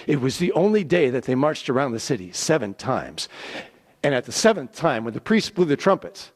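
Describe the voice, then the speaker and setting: A man giving a dramatic scripted scripture narration, deliberate and theatrical, with weighty pauses. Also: mid-range voice, very animated. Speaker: scripture reader. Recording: echoey hall with long reverb.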